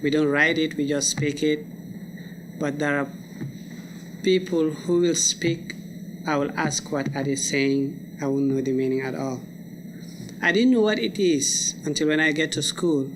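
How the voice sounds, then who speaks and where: A man's voice: on the high side, a little slow, long pauses. A young man who speaks English as a second language, sharing personal reflections in plain short sentences at a live event. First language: a language other than English. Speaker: student poetry reader. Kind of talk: unscripted personal reflection to an audience. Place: live college lecture event. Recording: clearly noisy live event recording.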